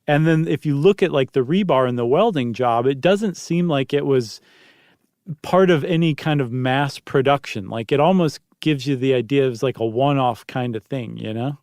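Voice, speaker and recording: A man's voice: medium pitch, normal speed, a little mellow, no room sound, studio quality. A man co-hosting a podcast, explaining things in a casual, chatty and descriptive way. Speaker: podcast co-host.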